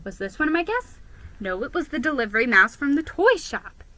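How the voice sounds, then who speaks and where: A woman giving a dramatic, expressive reading of a first-person children's story. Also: sits high, fairly quick, dry recording. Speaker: storybook reader.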